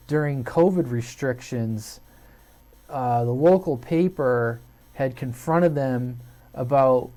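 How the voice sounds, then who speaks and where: A man's voice: medium pitch, dark tone. An American man hosting a hobby video channel, talking casually and unscripted to viewers in a hesitant, rambling way, thinking aloud.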